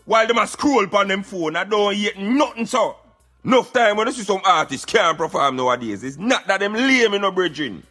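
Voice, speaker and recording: A man's voice: sits high, very animated, brisk, sharp tone, faint music behind. A Jamaican man giving opinionated conversational commentary on a radio show, in patois with emphatic interjections.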